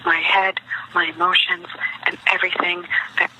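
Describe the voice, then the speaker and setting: A woman's voice: on the low side, little pitch movement, normal speed. A woman leaving a rambling, repetitive voicemail, sounding aggrieved and accusatory, in a lo-fi recording played back. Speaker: voicemail caller. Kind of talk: rambling personal voicemail message. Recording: noisy lo-fi voicemail played over speakers.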